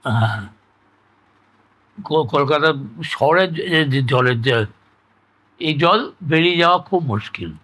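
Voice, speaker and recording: An older man with a West Bengal accent speaks in a bright, forceful voice pitched a little high. He explains conversationally, repeating himself and halting as he searches for the next phrase. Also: expressive, low-quality recording. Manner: halting, repetitive explaining with frequent tag words. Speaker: interviewee recounting his experience. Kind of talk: conversational oral-history reminiscence.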